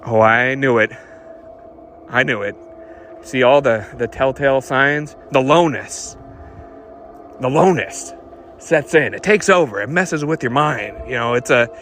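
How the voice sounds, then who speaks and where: A man acts a world-weary detective telling a dark case in noir monologue. He is brooding and knowing, with deliberate, dramatic phrasing. Music plays behind him. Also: medium pitch, lightly acted. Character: brooding, world-weary male hot rod detective narrator.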